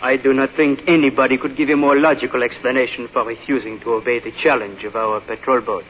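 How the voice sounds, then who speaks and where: An actor playing a smooth, menacing secret police inspector, formal and deliberate, in a 1940s radio drama. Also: male voice, medium pitch, fairly even intonation, lightly acted. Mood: insinuating, menacing. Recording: dull, noisy 1940s radio recording.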